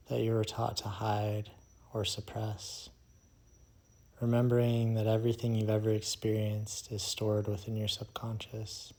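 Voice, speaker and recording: Slow, low, mellow male meditation guide. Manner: slow, soothing, hushed delivery with long pauses.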